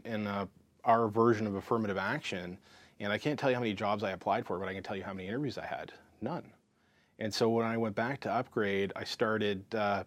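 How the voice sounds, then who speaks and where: A man in his thirties tells his story as an interview guest, talking in a frank, lively way. His voice sits low, and the sound is dry and close. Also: no room sound. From Canada. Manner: frank and lively, full of fillers and restarts. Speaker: counselor and psychotherapist, interview guest.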